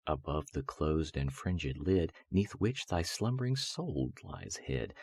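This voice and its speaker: Very deep, husky man reciting poetry. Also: a little mellow, no echo. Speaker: voice-over artist reading poetry. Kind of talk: dramatic recitation of gothic poetry for sleep and relaxation.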